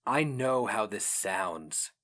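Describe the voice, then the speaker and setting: A man's voice: fairly low pitch, expressive, slow, a bit bright, exaggerated voice. A man playing a proud male mage in a fantasy story, arguing urgently with emphatic, rhetorical delivery. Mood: impassioned, persuasive.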